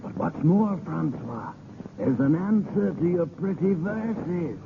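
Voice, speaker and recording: An actor playing an excitable tavern rascal and crony of thieves in 1930s radio drama. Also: male voice, fairly high pitch, takes it slowly, gravelly, heavily acted. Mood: insistent. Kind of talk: 1930s theatrical radio acting. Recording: noisy, tinny 1930s radio recording.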